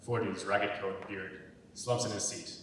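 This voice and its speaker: A man's voice: fairly even intonation, unhurried. A young man narrating the stage directions of a script. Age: young adult. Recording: very echoey hall, distant mic, faint rumbling noise.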